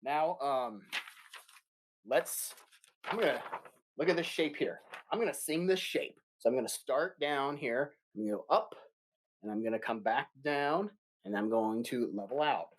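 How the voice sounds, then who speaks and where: A man's voice: mid-range voice, lively intonation, a little slow. A man teaching a remote music lesson to young children, upbeat and encouraging, singing short demo notes.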